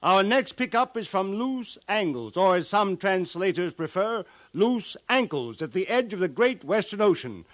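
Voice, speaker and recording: An actor playing an earnest, courteous field reporter in a 1950s radio drama, with formal announcer delivery. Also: male voice, high-pitched, animated, moderate pace, hardly any pauses, a bit bright, dry recording. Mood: smooth, announcing. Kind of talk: 1950s radio newscast acting.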